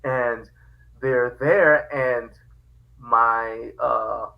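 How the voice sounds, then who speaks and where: A man from Liberia, a podcast guest telling his family history in a lively, casual way, often laughing. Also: medium pitch, extremely slow, creaky, lo-fi sound. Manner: animated, laughs often, quotes dialogue. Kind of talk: casual storytelling.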